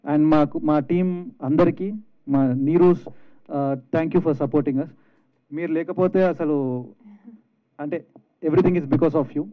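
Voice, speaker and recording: Male film team member, higher-than-average pitch, informal bilingual thank-you speech, muffled microphone sound. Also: fairly even intonation, slow, long pauses. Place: film trailer launch stage.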